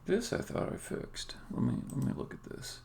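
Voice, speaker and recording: A game developer live-streaming a coding session, thinking aloud and muttering to themselves in halting phrases. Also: darkish tone. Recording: faint background noise, keyboard typing. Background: computer keyboard typing and clicking.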